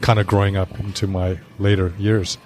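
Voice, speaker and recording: A man's voice: sits low, flat delivery, normal speed, darkish tone, slight background noise. A man from South Africa, a guest on a round-table talk, speaking in a plain, matter-of-fact way. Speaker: guest panelist. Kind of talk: casual round-table chat.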